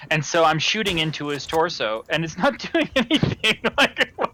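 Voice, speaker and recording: A man's voice: on the high side, sing-song, moderate pace, sharp tone, slight background noise, low-quality recording. A young American man chatting casually while playing a game with a friend. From United States. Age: young adult. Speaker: co-player in a gaming video. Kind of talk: casual chat while gaming.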